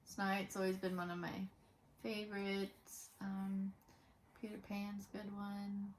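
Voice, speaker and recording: A woman's voice: medium pitch, completely flat delivery, unhurried, slight background noise. A woman in her early twenties chatting casually as a vlog co-host, reading out questions and giving her own answers. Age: early 20s. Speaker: co-host of a Disney fan vlog.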